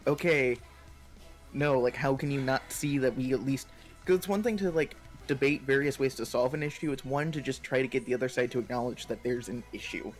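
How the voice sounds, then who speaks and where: An American man chats casually as a co-host, hedging his points as he goes. His voice sits at a middle pitch, and soft music runs behind it. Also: steady tone, a little fast. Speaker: stream co-host. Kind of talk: casual chat.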